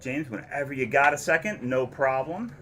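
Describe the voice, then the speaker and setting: A man's voice: medium pitch, animated, moderate pace, a bit bright, a little hiss or hum. A man hosting a live memorabilia break, upbeat and casual, reading chat comments aloud. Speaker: host of memorabilia box-break stream.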